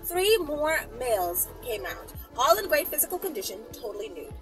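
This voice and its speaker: Female storyteller, bright and very animated delivery, teasing blunt asides between read passages, faint music behind. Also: high voice, moderate pace, poor audio. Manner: breaks off into teasing, blunt commentary. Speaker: channel host reading a story aloud. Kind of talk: storytime reading with casual asides.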